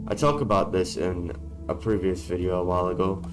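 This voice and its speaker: A man with a very low voice talks straight to camera, blunt and given to casual swearing. His voice sounds worn from being sick, and music plays clearly behind him. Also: brisk. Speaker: YouTuber. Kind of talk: casual talking-head vlog. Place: dark room indoors.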